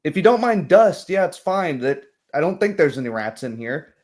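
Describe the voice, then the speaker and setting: A man's voice: a little high, big pitch swings, normal speed, a little mellow, pitched above the performer's own voice. A man voicing a middle-aged woman innkeeper in a tabletop game, easygoing and world-weary, with a hesitant, offhand delivery. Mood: casual, accommodating.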